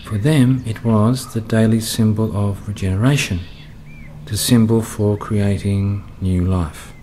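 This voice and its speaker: Australian man narrating, slow brooding radio play read, low voice. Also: steady tone, dark tone, slight background noise. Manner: brooding, measured.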